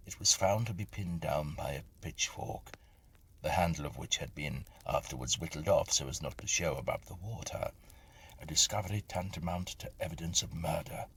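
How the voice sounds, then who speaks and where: A man with a gravelly voice reads a Victorian ghost story as a measured, solemn narrator. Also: extremely low pitch, a bit bright, a little hiss or hum. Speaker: reader and narrator of ghost stories.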